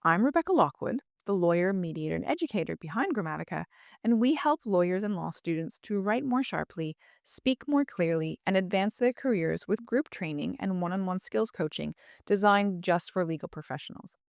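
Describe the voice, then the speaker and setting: A woman lawyer and educator giving a polished, measured scripted ad read. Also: on the low side, lively intonation, gravelly, no room sound, clean studio sound.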